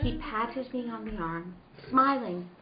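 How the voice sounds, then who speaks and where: A woman's voice: fairly high pitch, animated, unhurried. A woman doing a playful radio-play reading, slipping between narration and casual banter. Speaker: guest actor in a live radio-play reading. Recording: roomy, dull recording with crowd noise.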